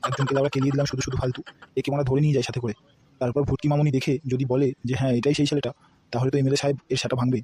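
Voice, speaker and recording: A man plays a blustering cartoon henchman. He swears his way through very fast speech at a middling pitch, on a noisy soundtrack. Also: natural voice. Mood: scheming, bossy. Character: loyal, thuggish, blundering male henchman. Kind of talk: amateur comedy cartoon voice-over.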